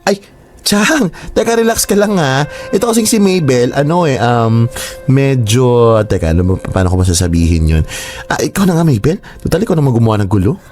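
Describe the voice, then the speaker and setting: A man's voice: mid-range voice, sing-song, a little fast, a little mellow, faint music behind, natural voice. A man playing a flamboyant gossipy best friend. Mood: dramatic. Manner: theatrical, swooping exclamations. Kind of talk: radio drama acting.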